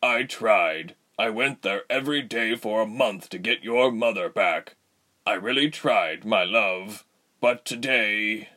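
A man's voice: mid-range voice, animated, takes it slowly, hardly any pauses, bright, forceful tone. Man acting a desperate, tender young father. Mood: sorrowful, tender. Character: desperate, tender father fighting to save his wife.

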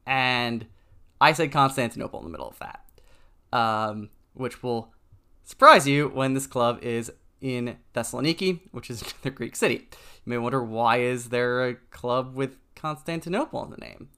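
A man with a smooth, clear voice of middling pitch talks through his subject alone. He is relaxed and conversational, filling gaps with ums and stumbling now and then over names. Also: normal speed. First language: English. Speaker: podcast host. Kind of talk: solo educational podcast talk.

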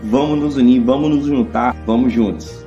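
A man's voice: mid-range voice, moderate pace, mellow, lo-fi sound, faint music behind. A man giving a church announcement in an earnest, rallying way.

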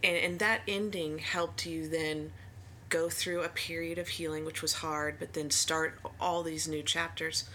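A woman's voice: sits low, flat delivery, measured pace, a little hiss or hum. A woman therapist hosting a podcast interview, affirming her guest and asking probing questions. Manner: warm-voiced affirming listener, many mm-hmms, probing questions. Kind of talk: conversational interview.

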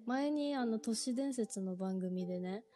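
A woman's voice: a little high, a little slow, warm tone, faint music behind. A woman in her thirties chatting casually with friends as a podcast co-host. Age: 30s. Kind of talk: casual chat among friends.

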